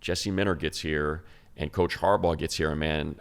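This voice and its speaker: Male sports panelist, extremely low voice with heavy fry, casual and emphatic delivery. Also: steady tone, moderate pace. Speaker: football talk show panelist and analyst. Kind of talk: casual sports talk, film breakdown.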